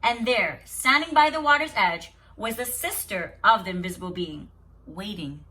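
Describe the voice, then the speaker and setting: A woman teacher reading a story aloud to young children in an expressive, deliberate, instructive way with dramatic pauses. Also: mid-range voice. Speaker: kindergarten teacher.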